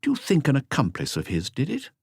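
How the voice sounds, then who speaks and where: Man acting curious police lab man, audiobook dialogue, matter-of-fact, lively intonation, dry sound. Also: mid-range voice, fairly quick, gravelly, slightly rough, no room sound, pitched above the performer's own voice, heavily acted. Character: inquisitive, businesslike male police forensic technician.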